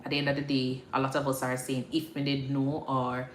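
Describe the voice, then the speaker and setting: A woman's voice: a bass voice, completely flat delivery, a little fast. A woman with a Jamaican accent chatting casually and informally on a livestream, often checking that listeners understand. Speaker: livestream host, nurse practitioner. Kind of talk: casual livestream chat to followers. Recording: echoey room with faint background noise.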